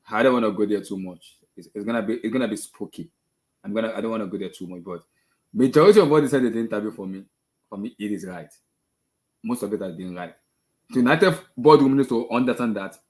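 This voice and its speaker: A man hosting a casual football discussion, giving opinions emphatically and repeating his phrases for effect. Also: medium pitch. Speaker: host of a football discussion show. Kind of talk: casual opinionated sports chat.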